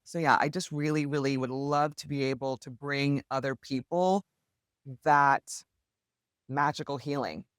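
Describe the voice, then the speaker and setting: A woman speaking as a podcast guest, telling her story in an earnest, heartfelt and animated way. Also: rumbling low, measured pace, smooth, no room sound, studio quality. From United States. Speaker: podcast guest, hypnosis healer. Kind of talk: candid conversational storytelling.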